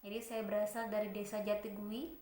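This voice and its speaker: A woman's voice: medium pitch, little pitch movement, mellow. Young woman lecturer in an echoey room. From Malang, East Java, Indonesia. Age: 20s. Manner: friendly, unhurried, asks students questions. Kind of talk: introductory online lecture.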